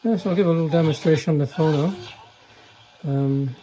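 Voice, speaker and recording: Mellow, understated older man. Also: a little high, a little fast, low-quality recording. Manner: understated, hesitant, trailing remarks. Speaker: radio repairer demonstrating a restored chassis. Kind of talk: casual hands-on demonstration.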